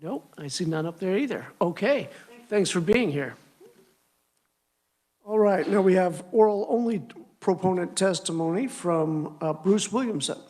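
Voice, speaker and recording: Committee chair, male, high voice, brisk and casual while moving through procedure. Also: expressive, a little mellow. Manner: brisk, informal procedural patter. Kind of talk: chairing a legislative hearing.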